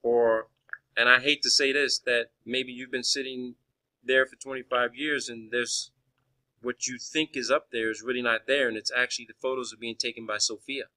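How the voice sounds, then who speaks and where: A man's voice: mid-range voice, steady tone, moderate pace, sharp tone, rough recording. A man arguing in a phone conversation as a caller, pressing his points insistently and often restarting his phrases. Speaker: caller questioning a space agency official.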